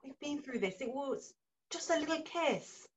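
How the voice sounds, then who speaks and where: A woman's voice: sits high, animated, recorded from a distance, slight background noise. A woman acting a needy, defensive sister who is keen to make up, in a naturalistic drama. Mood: pleading, defensive. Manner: pleading, defensive, quick to retort. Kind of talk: naturalistic stage drama dialogue.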